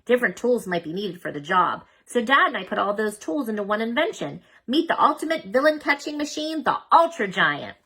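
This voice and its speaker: A woman voicing a practical, upbeat inventor mother who explains things briskly in a children's storybook. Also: a little high, very animated, sharp tone, slightly rough, pitched above the performer's own voice, heavily acted. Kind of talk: children's storybook read-aloud.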